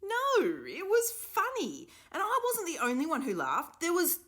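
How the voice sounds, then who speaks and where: A woman's voice: very high-pitched, wildly swooping pitch, measured pace, fairly bright tone, voice pushed up, full character voice. A woman playing a shocked, defiant schoolgirl. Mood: shocked, indignant. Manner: incredulous, protesting.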